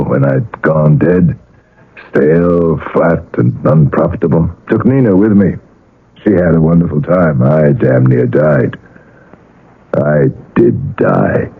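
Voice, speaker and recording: Brooding worn-out painter, very low voice, halting phrases, 1970s radio drama, muffled lo-fi broadcast sound. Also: male voice, creaky, slightly rough, exaggerated voice. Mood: desperate, bitter. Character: weary, desperate male painter, middle-aged or older, brooding.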